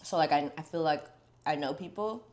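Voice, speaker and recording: A woman's voice: low voice, fairly quick, a little mellow. A young woman hosting a podcast, chatting candidly, swearing freely and filling her talk with "like". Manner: candid, swears freely, heavy filler words. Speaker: podcast host. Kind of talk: casual podcast chat.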